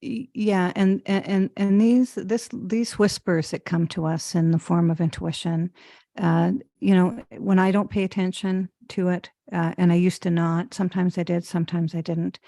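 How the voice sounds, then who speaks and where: Dark-voiced woman hosting a podcast. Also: fairly low pitch, steady tone, dry recording, studio quality. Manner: halting, full of ums and uhs and restarts. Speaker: podcast host. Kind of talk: interview conversation.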